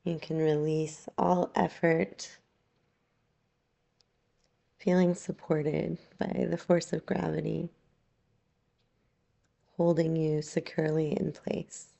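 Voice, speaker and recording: Female meditation guide: low, creaky-edged voice, gentle and unhurried yoga nidra instruction, long pauses. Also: level tone.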